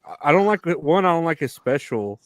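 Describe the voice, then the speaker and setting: A man co-hosting a wrestling podcast, giving blunt opinions in a halting, restarting way. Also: a little high, very animated, no room sound. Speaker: podcast co-host. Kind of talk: casual wrestling chat.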